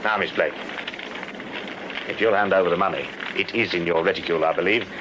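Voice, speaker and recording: An actor playing a shrewd, unflappable British police sergeant in a Victorian radio drama, measured, formal and dryly authoritative. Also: male voice, deep voice, close to an everyday voice. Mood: coolly accusing. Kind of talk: BBC radio period drama acting. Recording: muffled, very noisy radio drama recording.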